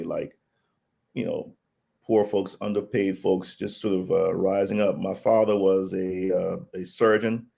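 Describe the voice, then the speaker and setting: A middle-aged man raised in Liberia, speaking as an interview guest in a thoughtful, measured way with frequent pauses. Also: fairly low pitch, vocal fry, a little mellow. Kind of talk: reflective conversational storytelling.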